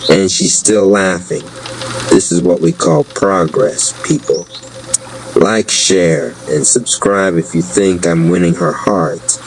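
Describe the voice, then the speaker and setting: A man's voice: deep voice, fairly even intonation, slow, slight background noise, poor audio. A man voicing a cocky, goofy romantic who plays to an imaginary camera like a showy vlogger in a story. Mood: boastful. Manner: grandiose vlogger-style showmanship.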